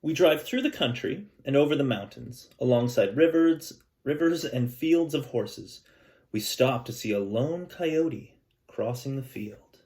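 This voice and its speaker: A man teaching a class, chatting gently and reading a picture book aloud to children. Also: medium pitch, expressive, a little slow. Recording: echoey room, mic at a distance.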